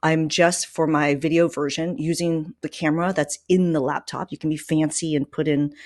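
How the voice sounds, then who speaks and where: A woman's voice: sits low, steady tone, moderate pace, darkish tone, dry recording, clean studio sound. A woman hosting a conversational podcast interview in an informal, emphatic way. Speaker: podcast host. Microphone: microphone plugged into a laptop.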